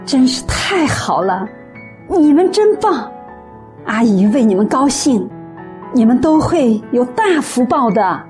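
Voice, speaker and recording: A woman acting a kindly, earnest middle-aged auntie in a radio drama, speaking in gentle, encouraging praise. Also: high-pitched, big pitch swings, slow, soft background music, heavily acted. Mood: delighted.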